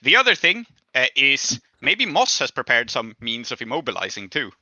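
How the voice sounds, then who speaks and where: Bright-voiced man running a tabletop game. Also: medium pitch, very animated, normal speed, no echo, lo-fi sound. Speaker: game master. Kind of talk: narrating and adjudicating a tabletop game, casual.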